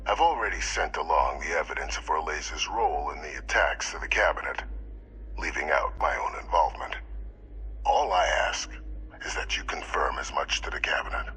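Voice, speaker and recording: An actor playing a smooth, scheming older male villain in a video game, speaking formally and persuasively with breathy phrase endings. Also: very deep voice, moderate pace, sharp tone, slight background noise. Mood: calm, persuasive. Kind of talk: video game character dialogue.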